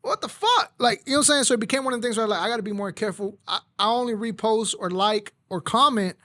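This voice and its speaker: A man's voice: high-pitched, animated, fast, pushed and bright, dry recording, studio quality. A man born in Mexico who grew up speaking Spanish hosts a casual talk show. He speaks bluntly and heatedly, with plenty of profanity. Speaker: podcast host. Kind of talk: casual chat.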